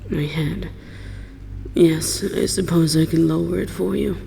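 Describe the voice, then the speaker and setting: Slow, gravelly, world-weary dragon, acted by a woman. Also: fairly low pitch, fairly even intonation, dark tone, a little hiss or hum. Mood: guarded, curious. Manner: measured, solemn, formal speech. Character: aloof, wounded, world-weary dragon, guarded yet wise. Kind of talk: slow dramatic roleplay audio monologue.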